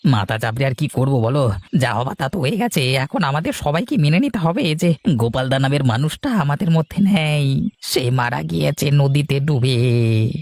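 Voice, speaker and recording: A man's voice: medium pitch, animated, normal speed, darkish tone, no room sound. A man voicing a sly cartoon villager who laments in a whining, over-dramatic way. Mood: mock mourning. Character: sly villager feigning grief over a drowned friend. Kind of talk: melodramatic cartoon dubbing.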